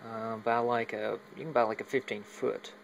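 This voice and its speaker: Male hobbyist demonstrator, flat and creaky, halting unscripted delivery, filmed on a phone with faint hum behind. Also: fairly low pitch, poor audio. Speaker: hobbyist demonstrating a home radio antenna setup. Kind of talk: casual unscripted walkthrough demo. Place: at home, moving between indoors and outside. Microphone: handheld phone while filming.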